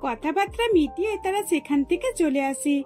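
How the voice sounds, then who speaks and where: A woman's voice: extremely high pitch, animated, fairly quick, mellow, faint music behind. A woman narrator reading scripted storytelling narration for a cartoon.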